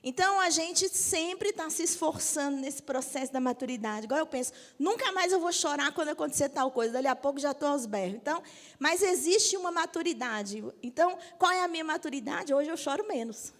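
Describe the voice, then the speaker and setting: Brazilian woman, 50s, high voice, lively church teacher, long hall reverb. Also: brisk. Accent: Brazilian Portuguese. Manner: lively, jokes with the audience, asks for agreement. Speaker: bishop.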